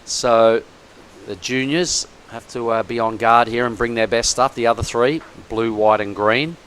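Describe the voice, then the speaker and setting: A male surf commentator calling a contest live, with an easy, excitable delivery pitched in the middle range. A faint hiss of noise sits beneath his voice, and the tone stays conversational, as if he is talking with a colleague. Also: a little slow. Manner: excitable, chatty colour commentary.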